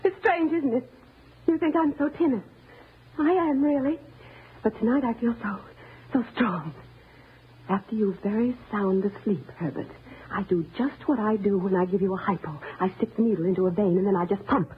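An actress playing a timid, resentful wife turned murderous, in old-time radio thriller style, menacing and bitter. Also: female voice, mid-range voice, normal speed, close to an everyday voice. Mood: calm menace. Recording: noisy, narrow-band old radio recording.

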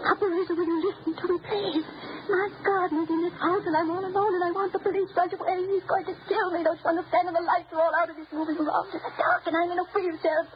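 A woman's voice: a little high, slight background noise, natural voice. A woman playing a frail, nervous old woman in a wheelchair in an old-time radio drama, stammering and pleading. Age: older. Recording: dull lo-fi radio recording.

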